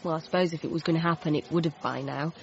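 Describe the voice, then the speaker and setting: An actress playing a gentle, slightly anxious friend, soft, hesitant and caring, in a radio comedy drama. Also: female voice, deep voice, steady tone, rapid-fire, a little hiss or hum. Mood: gentle concern. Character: gentle, supportive woman friend, a little anxious. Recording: muffled broadcast, faint music.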